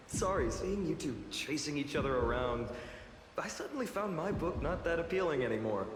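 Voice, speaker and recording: Nice young game character, talkative and teasing, bouncy pitch, lots of reverb. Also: male voice, big pitch swings, normal speed, a little hiss or hum. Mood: teasing, amused. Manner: talkative, good-humored. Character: affable young man, modest, chatty, gently teasing. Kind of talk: scripted game character dialogue. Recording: echoey long reverb, game music behind.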